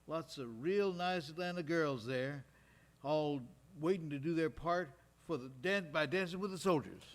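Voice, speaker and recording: Male actor as WWII veteran, slightly high voice, folksy and wry, unhurried live-stage storytelling. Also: natural voice. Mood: reminiscing, wry. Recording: live stage recording in recreation hall.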